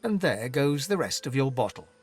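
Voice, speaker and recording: A husky male storyteller narrates a fantasy video game over music. His delivery is theatrical and solemn, with wide swings of pitch as he sets each scene. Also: very animated, normal speed. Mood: wry. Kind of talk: dramatic scripted second-person narration. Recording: background music under the voice.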